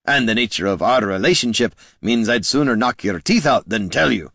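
A man voicing a gruff, blunt male hero who talks in a plainspoken, growling way. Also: medium pitch, expressive, normal speed, a bit bright, no room sound, studio quality. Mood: defiant.

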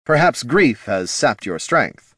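A man playing a dashing, smug male knight champion with a booming, trumpet-like voice and a grandly heroic, smooth-talking manner. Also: sing-song, slow pace, fairly bright tone, no room sound, studio quality, full character voice. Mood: wooing.

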